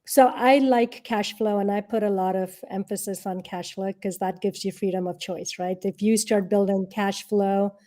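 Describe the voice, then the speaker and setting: A woman's voice: mid-range voice, fairly even intonation, moderate pace, hardly any pauses, warm tone. A woman giving conversational interview answers as a guest, explaining informally and often posing rhetorical questions. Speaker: interview guest, real estate and angel investor.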